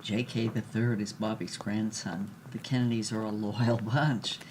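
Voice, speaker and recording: A woman's voice: extremely low pitch, fairly even intonation, unhurried, background noise. An actress playing a brassy, worldly old former film starlet, telling her story in a knowing, theatrical way in an audio drama. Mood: wry, proud.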